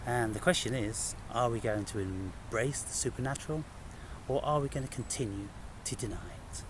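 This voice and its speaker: A man giving an unscripted spiritual monologue, speaking in halting, emphatic phrases with deliberate pauses. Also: medium pitch, noisy background. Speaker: spiritual vlogger and author.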